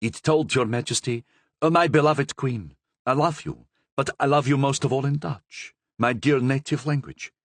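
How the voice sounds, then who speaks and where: A man's voice: medium pitch, normal speed, dry recording, voice pushed up, close to an everyday voice. A man voicing a plain-spoken young guardsman in a slightly raised, earnest voice.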